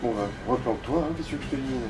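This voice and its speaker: A man's voice: medium pitch, fast talker, music in the background, rough recording. A man giving casual, slangy and crude advice as he comments on a video game.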